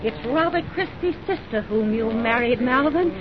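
An actress playing a vengeful, insinuating bride with deliberate theatrical delivery in an old-time radio suspense melodrama. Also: female voice, expressive, crowd noise, close to an everyday voice. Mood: vengeful, frenzied. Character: cold, vengeful bride trapping her brother's tormentor. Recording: muffled, noisy vintage radio recording with rumble.

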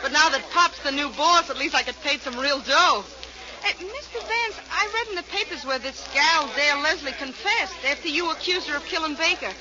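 A woman's voice: high-pitched, moderate pace, lightly acted. An American actress playing an eager, slangy young working girl in a 1940s radio drama, informal and chirpy. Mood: chirpy, curious. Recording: dull, noisy 1940s radio recording.

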